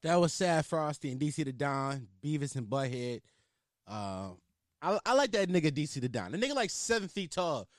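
A man's voice: on the high side, very animated, normal speed, no room sound, clean studio sound. A man with a Philadelphia accent hosting his own podcast in casual, rambling, profane chat full of filler words. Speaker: podcast host.

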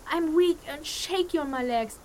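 A woman's voice: high-pitched, moderate pace, darkish tone, a little hiss or hum, lightly acted. An actress playing a cheeky, whiny, teasing young woman in a fantasy audio drama. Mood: playful complaining.

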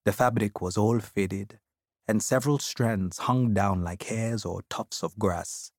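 A man's voice: on the low side, unhurried, dry recording, studio quality. A man narrating an audiobook as a reflective immigrant, with an African accent. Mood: wistful, reflective.

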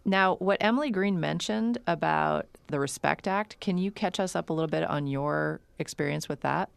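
A woman's voice: low-pitched, moderate pace, gravelly, clear, resonant tone, no room sound. A woman hosting a radio show, asking guests curious questions. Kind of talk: interview questions.

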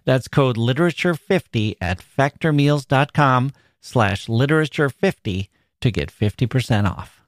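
A man's voice: mid-range voice, lively intonation, measured pace, no room sound. Man hosting a podcast, close dry sound. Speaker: podcast host. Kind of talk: casual, scripted ad reads and listener email reading.

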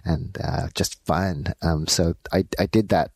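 A man from Los Angeles who works as an animation director, answering interview questions in a halting way with many fillers. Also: low-pitched, fairly even intonation, husky, no echo, studio quality. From Los Angeles, United States. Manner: halting, many ums and uhs.

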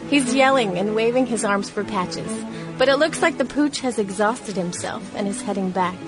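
A woman's voice: mid-range voice, moderate pace, over music, natural voice. A woman playing a young lady of 1916 reading her diary in a radio play, with prim, old-fashioned, wry phrasing. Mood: amused, observant.

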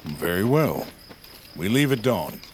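Commanding guard captain, lively pitch. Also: male voice, slow pace, a little hiss or hum, low-quality recording. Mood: gracious, steady. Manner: formal, authoritative. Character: commanding male guard captain, stern but honorable. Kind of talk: audio drama acting.

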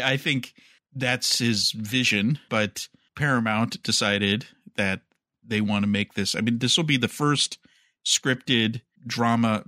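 A man's voice: mid-range voice, bright, forceful tone, dry recording, clean studio sound. A man co-hosting a podcast, casually talking through entertainment news in an analytical, speculative way. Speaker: podcast co-host.

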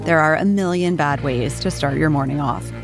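A woman's voice: moderate pace, creaky, music in the background. A woman giving an upbeat, scripted ad read. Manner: bright, upbeat pitch. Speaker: ad announcer.

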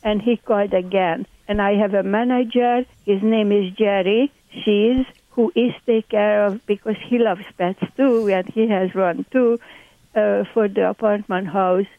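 A woman's voice: mid-range voice, fairly even intonation, measured pace, no echo. A woman in her eighties with a Hungarian accent, chatting as a landlord guest in a radio interview, speaking chatty broken English. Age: 80s. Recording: tinny phone line.

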